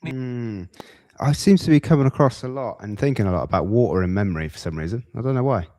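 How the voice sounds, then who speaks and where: A man hosting a podcast, musing casually and haltingly as he reacts to clips. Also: medium pitch, animated, a little mellow.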